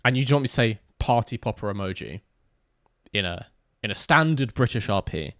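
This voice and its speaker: A man's voice: fairly low pitch, animated, fairly quick, fairly bright tone, no room sound. A young man streaming a racing game, chatting casually and joking with his chat as he reads it. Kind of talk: casual gaming livestream chat.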